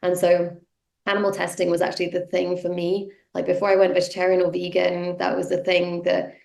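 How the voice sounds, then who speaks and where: A woman's voice: on the low side, completely flat delivery, a little fast, gravelly, darkish tone. A woman, giving a casual personal talk while sniffly from a cold, with many ums and yeahs. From North Yorkshire, England. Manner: conversational, many fillers like um and yeah. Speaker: guest speaker, activist. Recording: roomy echo.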